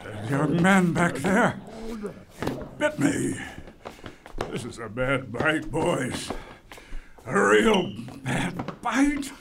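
Gravelly, injured schoolteacher in pain. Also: male voice, on the high side, very animated, extremely slow, long pauses, noisy background, exaggerated voice. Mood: pained, indignant. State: in pain, weakened. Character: injured adult schoolteacher, bitten, indignant and dazed. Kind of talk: radio drama acting.

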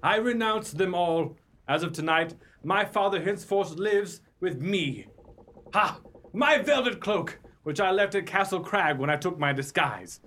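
A young king in a radio pirate spoof. His voice sits fairly high and swings freely as he declaims with courtly ardor and formal grandeur. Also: animated, unhurried, fairly bright tone. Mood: solemn resolve. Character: noble young king revealed from disguise, courtly and ardent. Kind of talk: theatrical radio pirate comedy acting.

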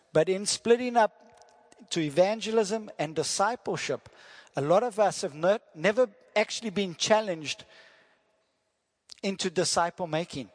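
Visiting South African missionary preacher, man, friendly, jokes, quizzes the congregation. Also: sits high. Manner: friendly, jokey, asks the congregation questions. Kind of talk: sermon with prayer. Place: church hall. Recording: church hall reverb.

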